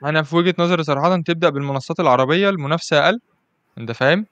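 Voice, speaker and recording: A man's voice: on the high side, rapid-fire, a bit bright, dry recording, muffled recording. A man with an Egyptian accent hosting a casual podcast interview, chatty and constantly asking "you understand?". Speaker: podcast host.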